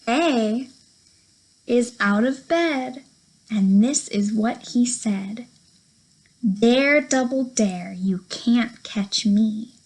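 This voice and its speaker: A young adult woman presenting a children's storytime, reading a picture book aloud in a slow, sing-song, very animated way, with playful whispers. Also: fairly high pitch, rough recording.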